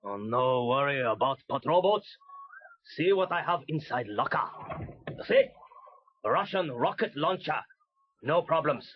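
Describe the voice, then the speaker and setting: A man's voice: mid-range voice, lively intonation, unhurried, pauses often, slight background noise, natural voice. A man playing a shifty, greedy river pirate captain with wheedling broken English in a children's audio story. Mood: boastful. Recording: dull vintage cassette.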